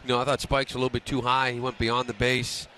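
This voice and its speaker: A man's voice: medium pitch, completely flat delivery, fast talker, bright, forceful tone, a little hiss or hum. An American man giving conversational, opinionated color commentary on a live baseball broadcast. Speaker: baseball radio color analyst. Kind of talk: unscripted live sports commentary.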